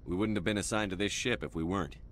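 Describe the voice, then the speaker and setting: A man playing a steady, confident military commander in a video game, terse and firm. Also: sits low, fast, slight background noise. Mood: sober. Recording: music behind the voice.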